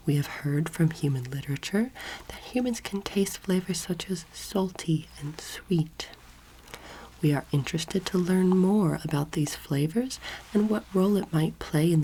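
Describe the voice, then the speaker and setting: A young woman playing a patient, earnest alien anatomy teacher in a soft-spoken ASMR roleplay, breathy and often whispering, measured and instructive. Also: low voice, poor audio. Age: young adult. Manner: measured, instructive, gently authoritative. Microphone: close microphone. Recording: clear background noise, layered clicking sounds.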